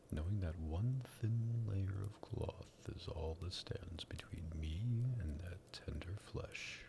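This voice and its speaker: A man playing a sadistic, possessive vampire master in an ASMR roleplay, teasing and politely menacing, with a breathy, gravelly voice. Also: sits low, full character voice. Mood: seductive, possessive.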